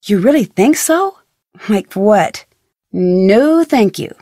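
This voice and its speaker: An American actress playing a curious, polite, plucky young woman detective in a video game, speaking scripted lines in a clear, earnest way. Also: medium pitch, animated, slow, mellow, dry recording, clean studio sound. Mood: curious, friendly.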